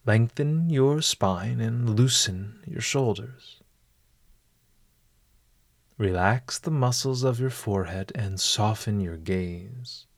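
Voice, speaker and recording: A man narrating a scripted guided meditation as host, with formal, deliberate, ornate phrasing. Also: lowish voice, gravelly, no echo, studio quality.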